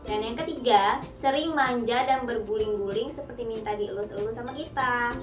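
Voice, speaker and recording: A woman's voice: a little high, moderate pace. A young woman chatting casually to viewers, sharing tips about caring for her cat, mixing in English words and often calling the audience guys. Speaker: cat owner sharing pet care tips. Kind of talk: casual vlog talk to viewers. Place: home studio. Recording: echoey room, heard from a distance, music behind.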